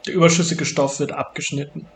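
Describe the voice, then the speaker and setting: A man's voice: a little high, level tone, quick pace, slight background noise. A man narrating a do-it-yourself build tutorial in a casual way. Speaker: maker narrating a build video.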